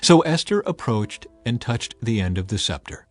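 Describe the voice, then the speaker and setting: Expressive male scripture narrator. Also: medium pitch, normal speed. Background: faint music.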